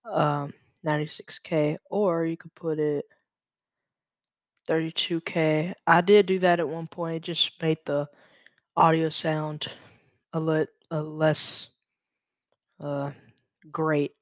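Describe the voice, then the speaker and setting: A young man from Louisiana hosting a casual, unscripted screen-share tutorial, halting and correcting himself as he goes. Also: a little high, flat delivery, no echo, clean studio sound. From Louisiana, United States. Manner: hesitant, halting, self-correcting. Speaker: tutorial host. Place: indoors at a computer. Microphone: USB microphone.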